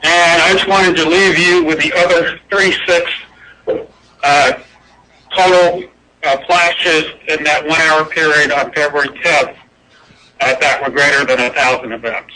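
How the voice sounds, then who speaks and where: A man with a fairly high, bright voice gives an unscripted technical presentation. He explains details informally, as a conference presenter would. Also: steady tone, a little slow, poor audio. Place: indoor presentation room with projected slides.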